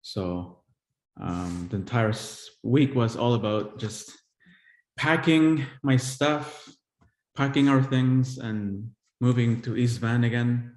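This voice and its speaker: A man in his forties from El Salvador, an artist giving a live-streamed talk on his work, who speaks haltingly with many pauses and restarts. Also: medium pitch, a little mellow. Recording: echoey room.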